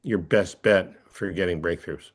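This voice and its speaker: A man answering as a coach in a conversational interview, thinking aloud in a thoughtful, halting way with repeated phrases. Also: deep voice.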